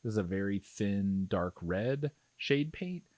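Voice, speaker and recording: A man's voice: fairly low pitch, lively intonation, unhurried, darkish tone, no room sound. A man giving a casual, step-by-step instructional voice-over in an informal, explanatory way. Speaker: miniature painting tutorial host.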